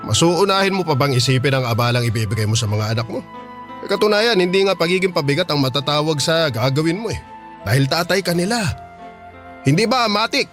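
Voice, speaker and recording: A man's voice: a little high, animated, fast talker, fairly bright tone, faint music behind. A man playing a blunt, persuasive friend in a radio drama, arguing colloquially in a Filipino accent. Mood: exasperated, insistent. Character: blunt, insistent male friend urging practical sense.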